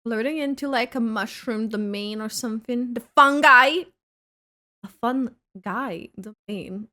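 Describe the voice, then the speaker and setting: A young woman streamer chatting through a game playthrough. Her voice is high, bright and very expressive, drifting along at a relaxed pace. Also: unhurried. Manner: giggly, expressive. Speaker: gaming streamer. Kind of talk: casual game playthrough reaction.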